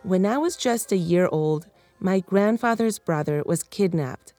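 A woman's voice: on the low side, a little slow, music in the background. A woman, a reporter narrating a podcast story in a candid, personal way. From United States (Miami). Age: 30s.

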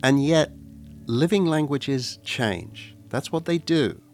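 A man hosting a radio documentary, narrating in a measured, reflective way and posing questions. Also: medium pitch, expressive, soft background music. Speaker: radio host.

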